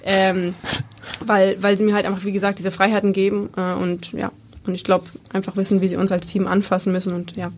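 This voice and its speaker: A woman's voice: mid-range voice, monotone, brisk, darkish tone, a little hiss or hum. A woman in her twenties from Bremen, a football player answering interview questions with many fillers and hesitations. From Bremen, Germany. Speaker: women's football player, guest.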